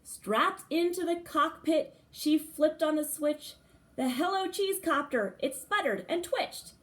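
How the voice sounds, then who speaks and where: A woman preschool teacher reading a storybook aloud for young children, with dramatic phrasing and pauses between short phrases. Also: high-pitched.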